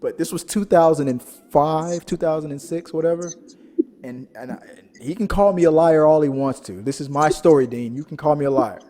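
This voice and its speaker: A man hosting a casual pop-culture show, telling stories in a rambling, animated way. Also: on the high side, moderate pace. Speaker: podcast host. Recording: reverberant, echoing room sound.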